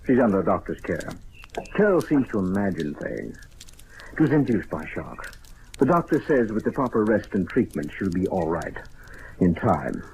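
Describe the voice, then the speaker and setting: An actor playing a stern, controlling husband in an old-time radio mystery, formal and clipped. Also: male voice, sits low, sing-song, moderate pace. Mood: controlled, polite. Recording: faintly noisy old radio recording.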